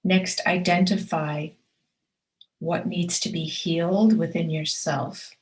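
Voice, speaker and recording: An earnest woman delivers a motivational talk on her own show, with a slightly low voice. She stacks short, punchy affirmations and repeats key phrases for emphasis, speaking straight to the listener to encourage them. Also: fairly even intonation, slow, low-quality recording. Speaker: author and publisher hosting her own show.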